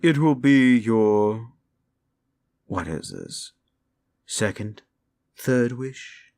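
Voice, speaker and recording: A man playing a sinister, mocking demon in a roleplay ASMR style, slow and theatrical, with a gravelly voice and condescending, drawn-out words. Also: medium pitch, expressive, darkish tone. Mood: sly, taunting. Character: sinister mocking male demon, condescending soul-hungry tempter. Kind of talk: roleplay comfort ASMR acting.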